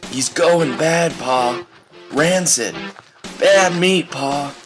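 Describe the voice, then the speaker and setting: A man's voice: fairly high pitch, very slow, over music. A man playing a famished, unhinged country son in a surreal audio drama, morose and repetitive in short phrases. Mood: morose, pleading. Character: unhinged, famished country boy pleading with his father.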